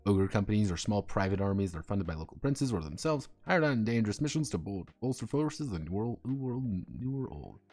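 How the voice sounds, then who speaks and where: A man's voice: on the low side, big pitch swings, a little fast, hardly any pauses, darkish tone. A man live streaming as a game designer, rambling casually between reading draft text aloud and making chuckling asides.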